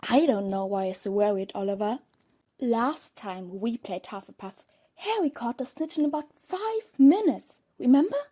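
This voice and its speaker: A woman's voice: on the high side, animated, moderate pace, warm tone. A woman playing a cheeky, easygoing teenage boy twin in an audio drama, breezy and teasing.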